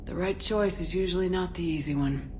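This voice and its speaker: Low-voiced, composed female commander played by an actress. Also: a little fast, darkish tone, a little hiss or hum, lightly acted. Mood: firm, supportive. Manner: direct, composed. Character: steady, frank, dryly teasing female military commander. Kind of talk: conversational video game acting.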